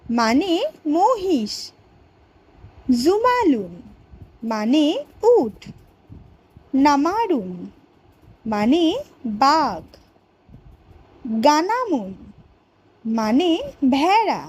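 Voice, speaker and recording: A woman voicing a cartoon schoolteacher who teaches vocabulary to young pupils in a deliberate, word-by-word way. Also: high-pitched, sing-song, a little mellow, exaggerated voice, low-quality recording. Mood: instructive. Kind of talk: educational cartoon vocabulary lesson.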